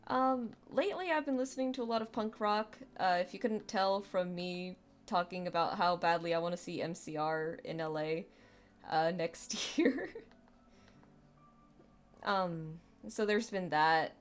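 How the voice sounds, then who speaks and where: A woman's voice: fairly low pitch, measured pace. A young woman with a youthful, girlish voice, chatting casually on a live stream, rambling and self-deprecating with lots of restarts and fillers.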